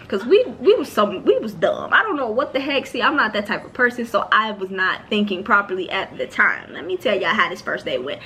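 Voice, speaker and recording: Bright-voiced chatty woman telling a story. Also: medium pitch, slight background noise. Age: late 20s. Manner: chatty, expressive, talks straight to her viewers. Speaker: podcast host. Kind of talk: casual storytime chat.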